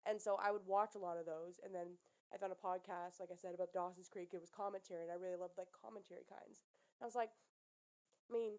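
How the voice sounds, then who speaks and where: A young woman co-hosting a casual podcast, animated, with a loud laugh. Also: lowish voice, quick pace. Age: young adult. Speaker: podcast co-host. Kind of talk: casual chat.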